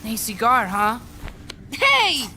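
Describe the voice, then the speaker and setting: A woman voicing an excitable, naive young boy guerrilla in a video game, eager and exclamatory in manner. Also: fairly high pitch, slow, a little hiss or hum, exaggerated voice. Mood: excited. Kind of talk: video game cutscene acting.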